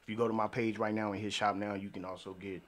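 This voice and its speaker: A man's voice: lowish voice, level tone, fast talker. A man who makes music, casually telling podcast listeners where to find his work, with music in the background. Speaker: music artist and producer, podcast guest. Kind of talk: casual plug of own music.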